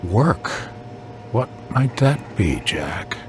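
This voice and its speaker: An actor playing a cold, calculating boss in a video game, measured and commanding. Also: male voice, sing-song, exaggerated voice. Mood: cool, calculating. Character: cold, calculating male boss in control room. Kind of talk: video game cutscene acting. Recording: rumbling noise behind the voice.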